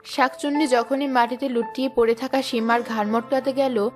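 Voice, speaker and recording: Female narrator for an animated fairy tale, scripted storytelling delivery, clear music bed. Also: fairly high pitch, moderate pace.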